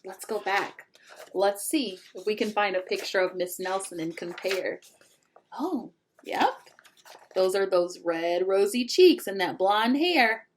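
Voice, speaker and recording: A woman reading a children's picture book aloud expressively, pausing to put questions to young listeners. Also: medium pitch, lively intonation, slight background noise. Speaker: read-aloud storyteller.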